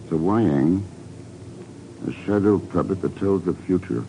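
Deep-voiced, cool secret agent. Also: male voice, dark tone. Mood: dry, calm. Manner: measured, wry, probing questioner. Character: cool, dry male American secret agent investigator. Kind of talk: 1950s radio drama acting. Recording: dull, noisy 1950s radio recording.

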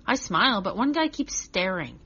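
A woman voicing a chatty, earnest six-year-old boy telling a children's story, childlike and expressive. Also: mid-range voice, normal speed, vocal fry, husky, lo-fi sound, full character voice. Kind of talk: first-person children's story reading.

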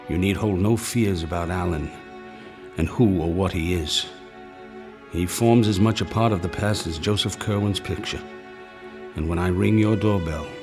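A grave older doctor in a radio drama, his voice very low. He speaks formally and deliberately, with solemn resolve, over prominent music. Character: grave, resolute older male doctor and loyal family friend. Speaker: actor, also narrating.

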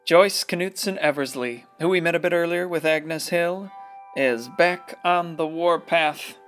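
High-pitched reflective male storyteller. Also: unhurried, a bit bright. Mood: wry, fond. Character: reflective, wry small-town narrator reminiscing. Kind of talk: radio play narration. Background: music.

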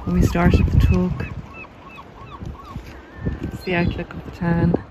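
A woman with an Irish accent giving a casual, explanatory monologue in a measured, halting way while out on a walk. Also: lowish voice, wildly swooping pitch. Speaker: podcast host and narcissism recovery coach. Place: outdoors on a coastal cliff walk. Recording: noisy outdoor handheld recording.

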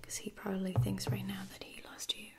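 A woman playing a caring space lieutenant in a whispered ASMR roleplay, breathy, hesitant and soft-spoken. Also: medium pitch, completely flat delivery, fairly quick, lightly acted. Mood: reassuring. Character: caring, reassuring female space lieutenant tending a wounded soldier.

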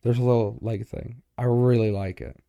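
A young man co-hosting a podcast in casual chat, joking and informal, with a boomy voice close to the microphone. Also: fairly even intonation, moderate pace, creaky, dark tone, no echo, highs cut off. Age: young adult. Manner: joking, informal, self-interrupting. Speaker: podcast co-host.

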